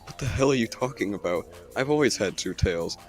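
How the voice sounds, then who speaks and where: A man voicing an eager, easily baffled young fox with a creaky voice in an amateur cartoon dub. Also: on the low side, expressive, fairly quick, soft background music, close to an everyday voice. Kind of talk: amateur comic dub character acting.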